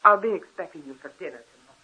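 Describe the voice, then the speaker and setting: Anxious young wife, earnest and pleading, bright breathy voice, muffled old radio. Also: female voice, on the low side, rapid-fire, exaggerated voice. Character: anxious young married woman, devoted wife. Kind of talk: 1940s radio drama acting.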